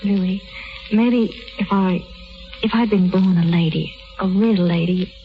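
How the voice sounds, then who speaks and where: Actress as an earnest duchess, muffled old radio. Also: female voice, medium pitch, faint music behind, lightly acted. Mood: anxious, wistful. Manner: halting, earnest, emotional. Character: plain-spoken, lovelorn, determined young duchess of common birth. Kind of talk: 1930s radio acting. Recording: muffled 1930s radio broadcast.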